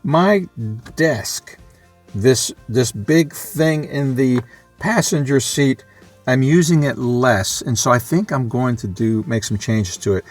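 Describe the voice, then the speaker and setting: A man's voice: mid-range voice, animated, takes it slowly, no room sound, soft background music. A man giving a casual, unscripted vlog talk as a hobbyist, rambling and matter-of-fact as he thinks aloud. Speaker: vlogger, amateur radio hobbyist.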